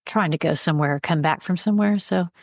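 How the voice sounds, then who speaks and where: A woman hosting a writers' podcast in casual chat, friendly and quick to laugh. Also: fairly low pitch, vocal fry, darkish tone, no room sound. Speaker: podcast host.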